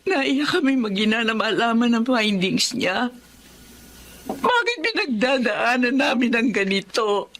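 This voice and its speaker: A woman's voice: on the high side, very animated, unhurried, a bit bright, slight background noise. An actress playing a grieving, anxious mother, speaking tearfully over a music bed. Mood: worried. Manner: tearful, pleading. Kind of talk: Filipino radio-style drama.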